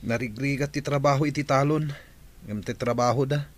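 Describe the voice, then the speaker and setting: A man's voice: medium pitch, a little fast, close to an everyday voice. A man playing the concerned grown son of a farming family in an Ilocano radio drama. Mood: concerned, questioning.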